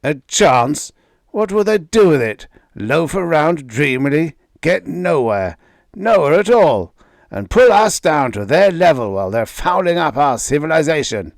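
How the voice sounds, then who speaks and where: A man reading aloud, voicing an angry, bigoted middle-aged father who shouts and rants. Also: mid-range voice, unhurried, no echo, studio quality, lightly acted. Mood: angry ranting. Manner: ranting, shouting.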